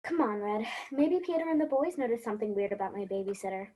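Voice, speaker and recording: A woman's voice: mid-range voice, lively intonation, fast talker, dark tone, natural voice. A woman playing Sam, an earnest, curious little girl asking questions, in an amateur stage play. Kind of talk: amateur theatre acting.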